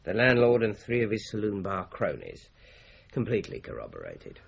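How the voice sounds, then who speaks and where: A man playing a shrewd, methodical British police inspector in a radio drama, brisk and authoritative. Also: on the low side, huge pitch swings, creaky, husky, full character voice. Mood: thoughtful, dogged. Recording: slightly dull radio drama recording.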